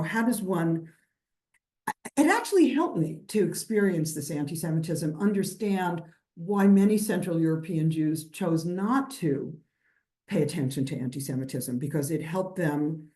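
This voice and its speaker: An older woman from New York talks through her answers in a loose, rambling way, circling back with restarts and fillers. Her voice sits fairly low and sounds mellow and relaxed. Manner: rambling, many restarts and fillers, laughs lightly. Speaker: guest lecturer, historian. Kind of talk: conversational lecture Q&A.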